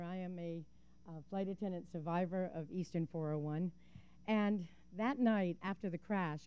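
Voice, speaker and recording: A woman's voice: lowish voice, darkish tone, clean tone, highs cut off. An older woman giving a prepared public comment at a council meeting, an earnest and vivid storyteller with a few fillers. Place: city council chamber. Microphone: podium microphone.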